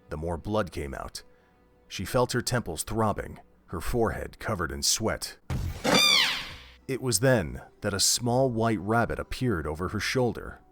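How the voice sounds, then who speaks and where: Low-pitched male story narrator. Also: a bit bright. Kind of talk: scripted audio drama narration.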